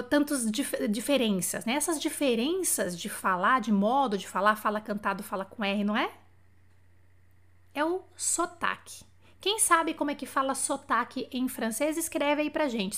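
A woman's voice: a little high, fairly bright tone. A woman from Brazil teaching French in a live-streamed lesson, chatty and checking in with viewers with frequent "tá?". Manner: chatty, asks for feedback with frequent "tá?". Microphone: live stream setup.

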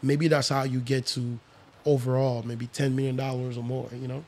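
Confident man in his thirties, relaxed. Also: medium pitch, normal speed. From Congo, raised in France. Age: 30s. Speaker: guest, real estate investor. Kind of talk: casual podcast chat.

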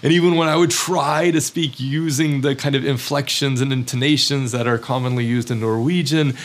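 A man's voice: medium pitch, steady tone, normal speed. Candid self-deprecating American man. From United States. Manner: candid, self-deprecating, many fillers and restarts. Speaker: teacher living abroad. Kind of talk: reflective personal monologue.

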